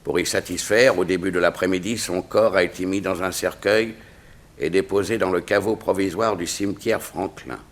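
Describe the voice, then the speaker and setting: An actor playing a grave, dignified diplomat with a formal, measured delivery in a 1960s French radio serial. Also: male voice, sits low, steady tone. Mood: reading, puzzled.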